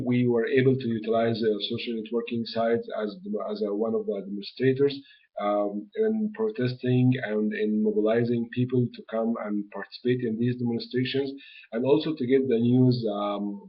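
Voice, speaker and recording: A young man from Egypt, a graduate student answering interview questions hesitantly, with lots of fillers and restarts. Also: fairly low pitch. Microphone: webcam mic. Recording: dull lo-fi webcam audio.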